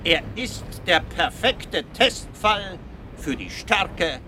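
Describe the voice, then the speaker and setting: Condescending, animated psychoanalyst, deliberately paced. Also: male voice, sits high, background noise, heavily acted. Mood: amused, assured. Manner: condescending, lecturing, deliberate with rhetorical tags. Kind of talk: German radio drama acting.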